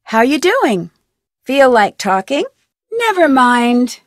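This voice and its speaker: Young girl detective for a video game, high voice, nosy but polite, very dry recording. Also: sing-song, takes it slowly, no room sound, heavily acted. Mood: friendly. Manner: polite, earnest, crisply questioning. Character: inquisitive, persistent young female amateur detective. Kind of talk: video game dialogue voice acting.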